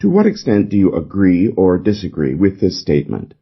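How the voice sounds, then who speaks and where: A man's voice: deep voice, huge pitch swings, a little fast, vocal fry, dull audio. A man reading a scripted model essay aloud in the role of a reader for English exam practice.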